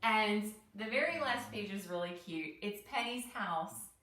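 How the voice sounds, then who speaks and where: Slow-paced woman teacher reading aloud. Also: mid-range voice, lively intonation, sharp tone. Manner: explanatory, asks the listener questions. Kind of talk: picture-book read-aloud with commentary for children. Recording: roomy echo, distant mic.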